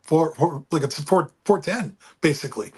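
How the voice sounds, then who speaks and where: A man with a booming voice chatting casually and rambling about engines over a remote call. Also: moderate pace, darkish tone, breathy edge. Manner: rambling, folksy, restarts often. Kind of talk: casual car chat. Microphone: remote call with speaker feedback.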